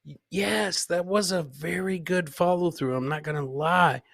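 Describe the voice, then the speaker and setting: A man with a high, bright voice hosts a live comedy stream. He comments on clips in a boisterous, joking way, circling back to his catchphrases, and the sound is close and dry. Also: measured pace, creaky, no room sound. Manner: boisterous, jokey, repeats catchphrases. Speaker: podcast host.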